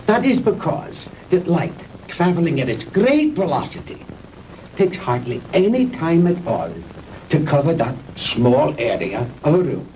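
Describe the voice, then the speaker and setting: Mad scientist, proud, lecturing, very deliberate, 1960s film drama, distant noisy soundtrack. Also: male voice, a little high, very animated, darkish tone. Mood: pompous, lecturing. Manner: pompous, lecturing, theatrical deliberate pauses. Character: proud, vengeful mad scientist from a defeated wartime nation. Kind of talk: 1960s B-movie sci-fi acting.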